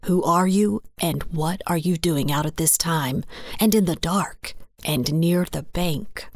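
A stern, suspicious lawman, acted out by a woman narrator. He speaks low and clipped, with a commanding manner. The audiobook dialogue sounds very dry. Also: takes it slowly, no room sound. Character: stern, suspicious male temporary sheriff, undercover agent.

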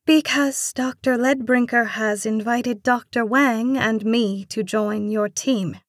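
An earnest, soft-spoken immigrant woman from an audiobook drama, played by a female narrator, polite to a fault yet resolute. Her voice sits a little high and moves at an unhurried pace, with a pleading edge. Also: steady tone, no room sound, clean studio sound. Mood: careful, polite.

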